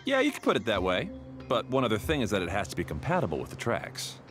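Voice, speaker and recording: Casting: laid-back slangy railway caretaker, lowish voice with lively swings, offhand delivery, faint music. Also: male voice, quick pace. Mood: casual. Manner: casual, offhand, drawling slang.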